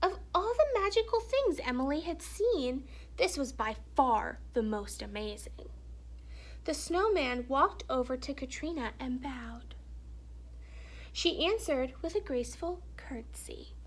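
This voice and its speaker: A woman reading a children's story aloud in an expressive, animated storytelling manner with hushed, dramatic phrasing. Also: high-pitched, unhurried, poor audio. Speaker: teacher or reader reading a children's picture book aloud.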